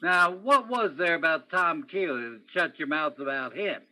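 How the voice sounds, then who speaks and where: A man's voice: on the high side, moderate pace, pushed and bright, poor audio. A man playing a probing, wry police detective in an old-time radio crime play, with terse, hard-boiled questioning. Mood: accusing. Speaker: student or guest stage actor in radio play.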